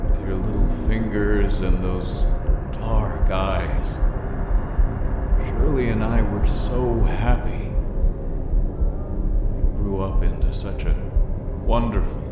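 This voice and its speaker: A man plays a departed older father in an audio drama, low-voiced and unhurried. He is tender and serene, with a dry, wry streak. The voice sits in a roomy echo with loud music underneath. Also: steady tone. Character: dead father speaking gently from the afterlife, wry, serene. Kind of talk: audio play acting.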